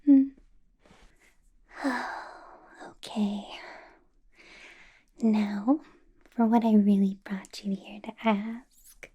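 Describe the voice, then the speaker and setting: A woman playing a possessive, doting vampire boss in an ASMR roleplay, in a breathy whisper with a deliberate, coaxing, playfully menacing manner. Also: mid-range voice, dark tone, full character voice. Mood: coy, secretive. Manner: slow, deliberate, coaxing, teasing.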